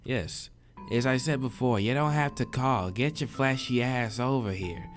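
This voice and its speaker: A man voicing a brisk, bossy bar boss in a visual novel, curt and impatient. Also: medium pitch, faint music behind, pitched above the performer's own voice, lightly acted. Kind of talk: visual novel character read aloud.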